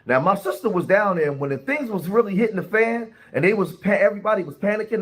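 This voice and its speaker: A male host with a fairly high voice and a bouncing, animated delivery. He speaks in a loose commentary style and keeps turning to his listeners for agreement. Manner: animated, asks the audience "right?" often. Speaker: podcast host. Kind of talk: casual commentary on online clips.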